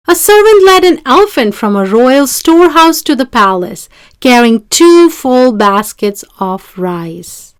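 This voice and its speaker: A woman reading a children's story aloud in an expressive, deliberate storytelling way. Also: mid-range voice, no echo, studio quality. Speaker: storybook reader.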